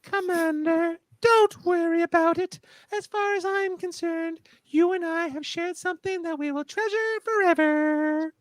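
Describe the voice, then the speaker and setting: A woman's voice: extremely high pitch, unhurried, no echo. A woman playing a confident, teasing female starship officer in an amateur radio play, with a coy, knowing manner. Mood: teasing, flirtatious.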